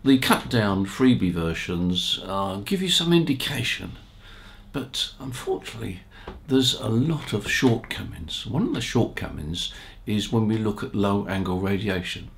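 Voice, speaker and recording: A man, explaining things informally to camera in a measured way with rhetorical asides. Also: on the low side, lively intonation, creaky, a little hiss or hum. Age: 70s. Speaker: ham radio channel presenter.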